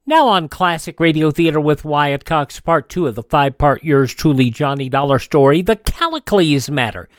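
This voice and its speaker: A man hosts a radio program from a script. His voice sits a little high, and the sound is close and dry. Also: animated, normal speed, few pauses, no echo. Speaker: radio show host.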